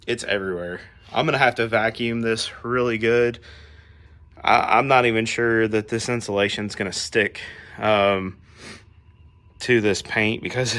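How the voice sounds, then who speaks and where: Raspy-voiced man, laid-back. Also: on the low side, fairly bright tone. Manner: laid-back, rambling with fillers. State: raspy, recovering from a cold. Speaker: garage channel host. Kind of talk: casual how-to vlog. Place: home garage, working on a car.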